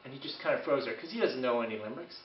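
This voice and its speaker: A man performing a comedic tall tale as a storyteller, with a theatrical, animated delivery. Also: medium pitch, racing, slight background noise. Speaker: comic storyteller. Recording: echoey room, distant mic, muffled.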